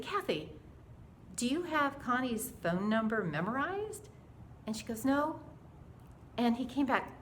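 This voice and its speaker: A mature woman with a medium-pitched voice shares a personal story of faith. She is earnest and dramatic, slipping into dialogue as she retells it. Faint background noise sits under the recording. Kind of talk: animated personal storytelling to camera.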